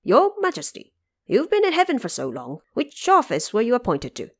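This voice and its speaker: A woman voicing fawning male horned monster kings, eager and flattering, in an audio drama. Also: on the high side, normal speed, full character voice. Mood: eager flattery. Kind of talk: audio drama character acting.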